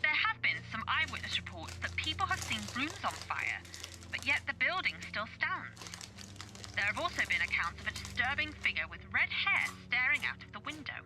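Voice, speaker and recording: A woman plays a dramatic presenter of a live paranormal show. Her delivery is theatrical and suspenseful, with wide swings in pitch. Clear music runs underneath. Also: sing-song, brisk, low-quality recording. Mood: ominous. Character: dramatic female TV presenter of a ghost-hunting show.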